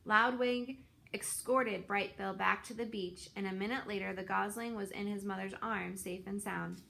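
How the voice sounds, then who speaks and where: Bright-voiced woman reading a storybook. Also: medium pitch, fairly even intonation, normal speed, gravelly. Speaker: children's book reader. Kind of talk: expressive storybook read-aloud.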